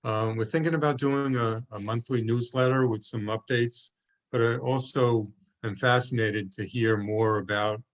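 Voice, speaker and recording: A man's voice: mid-range voice, steady tone, normal speed. A man hosting an online video call meeting, thanking attendees and asking for questions, with frequent fillers and restarts. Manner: hesitant, many fillers and restarts. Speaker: meeting organizer.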